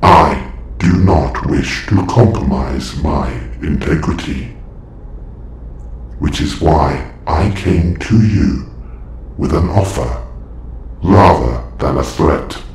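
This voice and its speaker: A man playing a calm, formal robot superhero with quiet menace, speaking in stiff, precise phrases in an audio roleplay. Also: rumbling low, slow, pauses often, heavily acted. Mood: firm, restrained. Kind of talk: scripted audio roleplay acting. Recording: echoey, faint background ambient noise.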